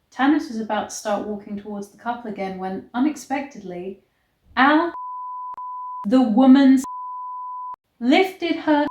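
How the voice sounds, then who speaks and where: Slow-paced woman, wry, in an echoey room. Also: mid-range voice, a little mellow. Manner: wry, sarcastic, sweary. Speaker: host reading a book aloud and reacting. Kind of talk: read-aloud storytelling with casual vlog commentary.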